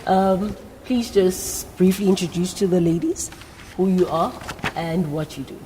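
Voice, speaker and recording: A woman with a South African accent hosts a career interview in a low register. Her tone is soft-edged and encouraging as she addresses her audience directly. The sound rings in a large hall with faint noise. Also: unhurried. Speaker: interview host. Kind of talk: live career talk show interview before young guests.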